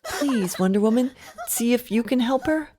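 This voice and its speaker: Earnest nurse: polite, hesitant, animated pitch, for a comic audio drama. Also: female voice, moderate pace. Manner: prim, courteous, hesitant. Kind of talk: comedy audio drama acting.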